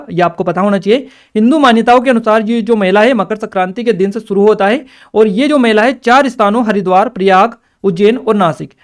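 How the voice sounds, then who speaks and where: A man explaining exam questions step by step as a teacher, addressing students directly and checking they follow. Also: sits high. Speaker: exam-prep teacher and channel presenter.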